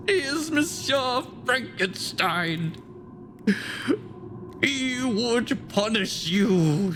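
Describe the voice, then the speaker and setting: A man's voice: very high-pitched, creaky, fairly bright tone, voice pushed up, exaggerated voice. A man voicing a frightened, defiant young boy in a theatrical monologue, crying out with pleas and threats. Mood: indignant, frightened. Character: terrified, defiant young boy struggling with a monster. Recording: faint background noise, home video-call performance.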